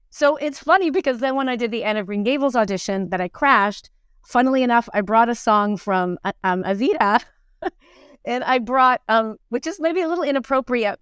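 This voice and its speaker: A middle-aged woman telling stories casually as an interview guest, animated, rambling and self-deprecating. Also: mid-range voice, smooth, no echo, clean studio sound. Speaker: interview guest, musical theatre performer and teacher. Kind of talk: casual conversational storytelling.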